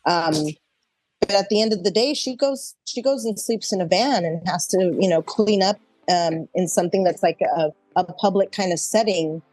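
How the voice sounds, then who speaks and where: An American woman gives casual, thoughtful answers as an interview guest. Her voice sits at a middle pitch and is recorded dry, with faint music playing behind her. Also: moderate pace, a little mellow, dry recording, low-quality recording. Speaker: interview guest, actor and improv performer.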